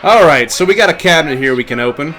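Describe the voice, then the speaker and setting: A man's voice: on the high side, lively intonation, fast, fairly bright tone, poor audio. A man giving casual, chatty livestream commentary as he plays through a game, easygoing and given to swearing. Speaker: game streamer. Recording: faint game music behind. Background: game music.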